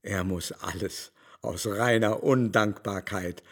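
Lowish-voiced man in literary radio drama. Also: slow pace.

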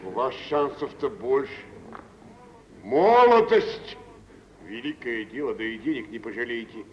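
Middle-aged rich gentleman, mid-pitched, pompous and probing; vintage radio theatre with light hiss. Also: very animated, measured pace. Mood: condescending. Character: wealthy older married businessman, worldly, condescending, probing. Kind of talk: 1940s Russian radio theatre acting. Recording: dull, hissy old radio recording.

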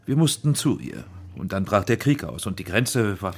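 An actor playing a wary, cornered film director in a radio drama, speaking in guarded, terse, halting phrases. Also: male voice, fairly low pitch, sing-song. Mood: defensive, subdued.

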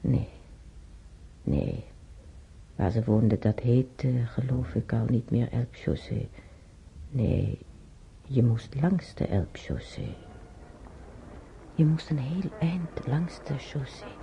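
An actor playing an elderly man who haltingly reminisces in a radio drama, searching his memory and muttering to himself. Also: medium pitch, animated, gravelly, warm tone, husky, full character voice. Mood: reminiscing. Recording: muffled old radio drama recording.

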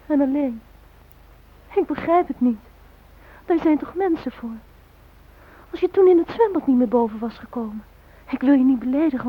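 An actress in a radio drama playing a grieving young woman who speaks haltingly and in anguish to her dead fiancé. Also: high-pitched, lightly acted. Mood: anguished, pleading. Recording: dull, lo-fi old radio recording.

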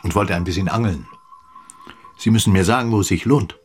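Deep-voiced, composed magistrate. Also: male voice, fairly quick, husky. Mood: polite, relaxed. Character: composed, shrewd magistrate posing as a travelling doctor. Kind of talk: German radio drama acting.

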